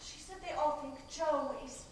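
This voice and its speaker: Actress as loyal young sweetheart in stage drama: high voice, earnest and direct, very echoey hall sound. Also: female voice, normal speed, close to an everyday voice. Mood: troubled. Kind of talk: theatrical stage play acting. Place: theater stage, captured from far off. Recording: distant echoey stage recording with hiss.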